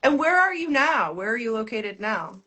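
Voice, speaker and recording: Bright-voiced woman hosting a live interview. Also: on the high side, big pitch swings, normal speed. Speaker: host.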